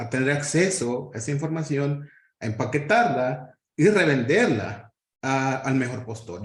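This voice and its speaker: Earnest man in an echoey room. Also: fairly high pitch. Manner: earnest, halting with fillers and restarts. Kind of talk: workshop discussion.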